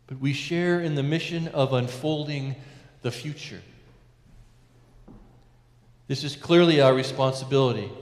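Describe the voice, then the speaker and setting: Man preaching, measured, emphatic rises, quiet endings, roomy church sound. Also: a little high. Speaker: priest. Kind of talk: sermon. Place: large church, at a lectern. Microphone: lectern mic, far camera. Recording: echoey church with long reverb.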